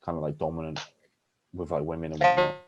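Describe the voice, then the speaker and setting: Low-voiced eager male interviewer. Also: wildly swooping pitch, quick pace, lo-fi sound, music in the background. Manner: eager, asks probing questions. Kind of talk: casual interview chat.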